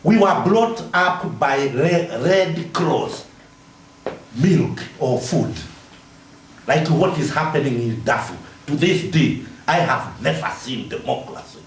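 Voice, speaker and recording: A man's voice: a little high, animated, slight background noise. An older man from Nyeri in Kenya, asking an impassioned question from the audience. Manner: slow and impassioned. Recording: echoey hall, distant mic.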